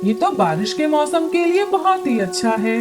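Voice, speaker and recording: A woman voicing a snooty, gossipy female bird in a children's cartoon, with exclamatory, expressive delivery. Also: sits high, moderate pace. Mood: delighted. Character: snooty female pigeon neighbour, haughty and gossipy. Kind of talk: Hindi children's cartoon dubbing.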